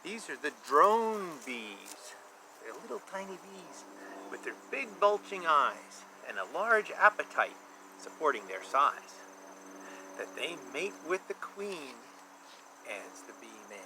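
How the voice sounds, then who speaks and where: A man's voice: a little high, big pitch swings, slow. A man in his fifties reading a children's book aloud, with simple, repetitive, emphatic explanations for young listeners. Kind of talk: read-aloud storytelling with explanatory asides. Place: outdoor backyard beside a beehive. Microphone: camera at about arm's length. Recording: outdoor noise with insect chirps.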